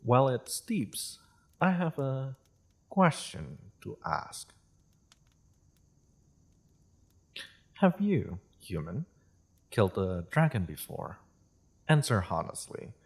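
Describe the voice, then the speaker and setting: A man playing a courteous, wry half-dragon merchant in a fantasy audio roleplay, speaking in a formal, measured, amused manner. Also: mid-range voice, very animated, vocal fry, a little mellow, slightly rough, heavily acted. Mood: calm, probing. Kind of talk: scripted fantasy audio roleplay addressed to listener.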